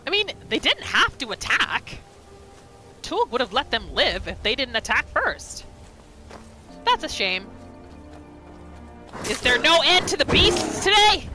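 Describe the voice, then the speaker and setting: A woman's voice: sits high, sing-song, a little slow, long pauses, pushed and bright. A woman giving reactive gameplay commentary on a roleplay, full of exclamations and rhetorical questions. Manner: exclaims, asks rhetorical questions, speaks for the character. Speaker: gamer narrating a roleplay playthrough. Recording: game music behind the voice. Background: video game music and sounds.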